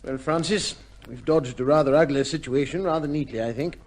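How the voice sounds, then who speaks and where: An actor playing a shrewd, measured gentleman adviser in an old radio drama, speaking formally and firmly. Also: fairly high pitch. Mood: calm, cautioning. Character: cool, shrewd gentleman adviser to an accused judge.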